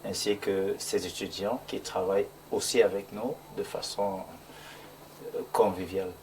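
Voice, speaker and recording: A man's voice: on the low side, steady tone, a little slow, darkish tone, distant mic. A man with a Cameroonian French accent, a computer science lecturer and guest on a talk show, speaking hesitantly with many fillers and repeated words.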